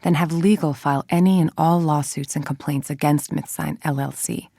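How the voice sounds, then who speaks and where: Cold scheming scientist villain for a video game, low husky voice, controlled n menacing. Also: female voice, steady tone, normal speed, mellow, no echo, studio quality. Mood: scornful, calculating. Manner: clipped, controlled, authoritative and menacing. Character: cold, calculating middle-aged female villain scientist.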